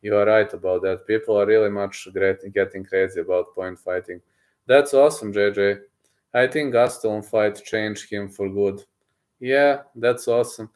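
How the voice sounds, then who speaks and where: A man, a streamer chatting casually with his viewers, boastful and excitable, often repeating himself. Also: on the low side, normal speed. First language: Serbian. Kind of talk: casual livestream chat, reading messages aloud.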